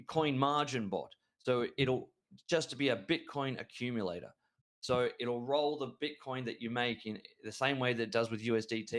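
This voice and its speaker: A man co-hosting a trading show, talking excitedly and rambling through chart analysis with frequent restarts. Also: mid-range voice. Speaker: crypto trading show co-host. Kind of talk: enthusiastic market analysis chat.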